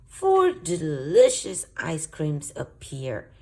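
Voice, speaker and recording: A woman hosting and narrating a children's storybook read-aloud in an expressive, deliberate way. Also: low voice. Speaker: children's book reader and channel host.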